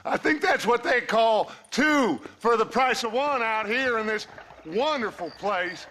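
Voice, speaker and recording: An actor playing a philosophizing outlaw gang leader in a western video game, halting and mockingly courteous, with calm menace. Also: male voice, extremely high pitch, exaggerated voice.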